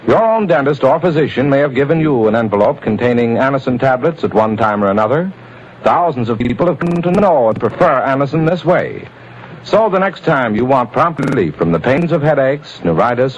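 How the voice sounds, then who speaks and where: A man's voice: medium pitch, expressive, a little fast, slight background noise. A man announcing a radio drama and reading its sponsor's message in formal, declamatory old-time style. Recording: dull lo-fi old radio recording.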